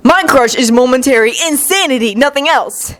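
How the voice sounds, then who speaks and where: Woman as a proud, aloof, animated ninja boy. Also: high voice, moderate pace, pitched above the performer's own voice. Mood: dismissive.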